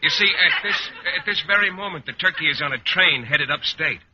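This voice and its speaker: An American actor playing a shy, earnest bachelor schoolteacher in a vintage radio comedy, hesitant, polite and a little naive. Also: male voice, a little high, brisk, natural voice. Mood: bashful, polite. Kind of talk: 1940s-50s radio comedy acting. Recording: very noisy, muffled old radio recording.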